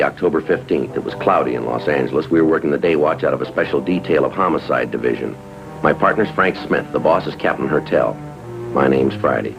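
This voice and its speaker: Male, low-pitched American; terse, deadpan cop for vintage TV police drama, old soundtrack with music. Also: highs cut off. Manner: deadpan, clipped. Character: terse, dry, businesslike male police detective. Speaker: lead actor and narrator of a police series. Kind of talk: 1950s TV police drama acting and narration.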